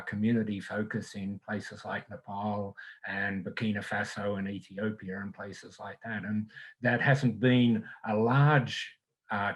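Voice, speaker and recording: A man's voice: fairly low pitch, breathy edge, low-quality recording. An older man with an Australian accent, speaking thoughtfully as a panelist on a video call from a small home room.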